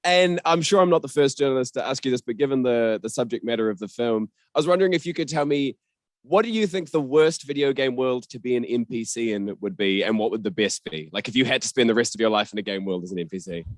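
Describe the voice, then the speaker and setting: A New man with a mid-pitched voice, recorded close and dry. He works as a podcast host, putting questions in a conversational, journalistic style. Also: fast talker, dry recording. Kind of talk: conversational interviewing and podcast hosting.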